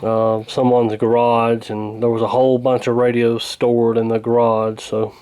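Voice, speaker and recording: A man's voice: medium pitch, completely flat delivery, moderate pace, dark tone, clear, resonant tone. A man talking casually and hesitantly through a hands-on repair, in a folksy, unscripted way. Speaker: radio repair hobbyist, presenter.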